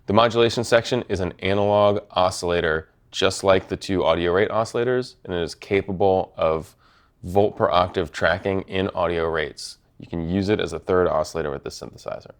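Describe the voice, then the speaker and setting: A man's voice: low-pitched, a little fast. A man giving a methodical, matter-of-fact product demonstration as a presenter. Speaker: product presenter from a synth maker. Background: synthesizer tones.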